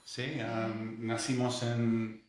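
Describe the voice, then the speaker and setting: A man's voice: medium pitch, level tone, reverberant. A man in his sixties from England with an English accent, speaking hesitantly as a guest in a radio interview in a second language. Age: 60s. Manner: halting, many hesitations and restarts.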